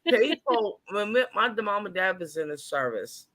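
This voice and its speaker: A woman in her fifties telling animated stories in a casual group chat. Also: medium pitch, normal speed. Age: 50s. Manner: animated storyteller.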